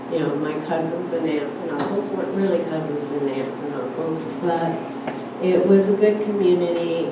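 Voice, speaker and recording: An older woman born in Germany, telling her family's immigration story at a church forum in a conversational way, with many "you know"s and "uh"s. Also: lowish voice. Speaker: guest speaker at church forum. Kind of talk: personal storytelling talk. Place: church hall. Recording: roomy hall, distant mic, background noise.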